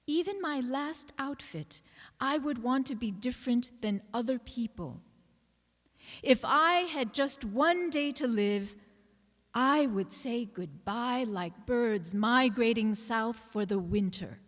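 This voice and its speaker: A woman's voice: a little high, animated, takes it slowly, a bit bright. A woman giving a dramatic reading of a personal piece of writing as an actor on stage. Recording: reverberant hall recording.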